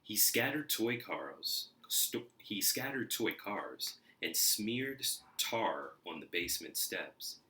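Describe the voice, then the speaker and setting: A man reading a children's storybook aloud in an expressive, deliberate narrating style. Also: on the low side, low-quality recording. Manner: expressive, deliberate, acts out sounds. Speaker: storybook reader.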